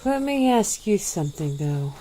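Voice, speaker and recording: A woman voicing a centuries-old female vampire, calm and regretful, speaking slowly and formally in an ASMR roleplay. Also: mid-range voice, background noise, close to an everyday voice. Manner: slow, measured, formal speech.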